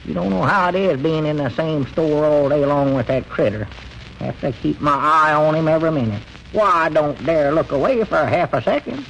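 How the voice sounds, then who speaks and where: An actor playing a nervous small-town storekeeper who stammers and frets, speaking in a country dialect, old-time radio comedy style. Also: male voice, on the high side, expressive, normal speed, creaky, fairly bright tone, slight background noise, rough recording. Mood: fretful. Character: jittery middle-aged small-town storekeeper. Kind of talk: 1930s radio comedy acting.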